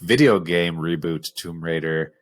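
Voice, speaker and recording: A man's voice: low-pitched, measured pace. A man co-hosting a podcast, discussing films casually in an informal, explanatory way. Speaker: podcast co-host.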